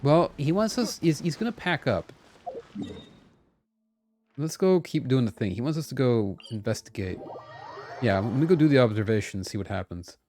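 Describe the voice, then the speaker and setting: Animated man streaming a game. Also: medium pitch, moderate pace, pauses often, slight background noise. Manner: playful, repeats phrases while thinking aloud. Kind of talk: casual live gameplay chat.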